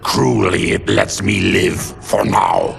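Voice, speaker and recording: An actor playing a bitter, disease-ravaged exiled merchant with a gravelly voice, in a video game cutscene. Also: male voice, deep voice, takes it slowly, soft background music, heavily acted. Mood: sorrowful.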